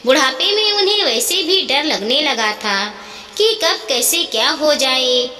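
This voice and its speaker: A woman narrating a scripted family story in an expressive, dramatic storytelling manner. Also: high voice, measured pace. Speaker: narrator of moral stories. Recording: echoey, long reverb.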